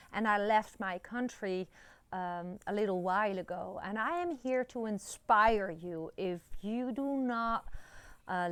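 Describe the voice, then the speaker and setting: A woman's voice: mid-range voice, fairly bright tone, clear, resonant tone, dry recording. Dutch woman vlogging outdoors, dry sound. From Netherlands. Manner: encouraging, chatty, many fillers and restarts. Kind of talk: casual talk to camera. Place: outdoors in a rural yard. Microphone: phone camera at arm's length.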